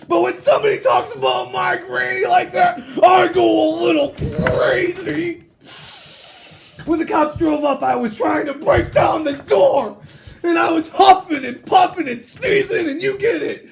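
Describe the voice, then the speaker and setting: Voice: very high-pitched, a little slow, creaky, raised voice, a little hiss or hum, pitched above the performer's own voice, heavily acted. A reader voicing a sly, aggrieved male wolf who tells his side of a storybook tale in a chatty, mock-innocent, self-justifying way. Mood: indignant.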